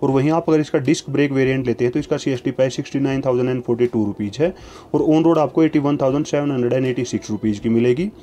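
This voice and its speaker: Fast, mellow man reading price lists. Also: mid-range voice, slight background noise. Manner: steady, even list reading in set formulas. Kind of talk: scripted voice-over reading prices. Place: voice-over over price slides.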